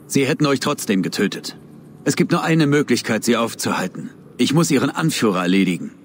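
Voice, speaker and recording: An actor playing a grave, resolute warrior protector in a video game, husky and speaking in a measured, earnest way. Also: male voice, mid-range voice, expressive, a little hiss or hum, natural voice.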